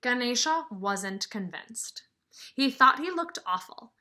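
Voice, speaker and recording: A woman kindergarten teacher reading aloud and explaining to young children in an expressive, emphatic way, with questions to the listeners. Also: a little high, slow.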